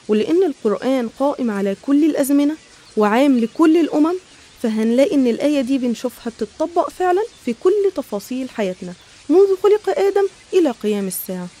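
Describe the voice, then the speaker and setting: A woman's voice: sits high, dark tone. A woman with an Egyptian Arabic accent narrating religious stories in a conversational, explanatory way. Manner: explanatory, storytelling.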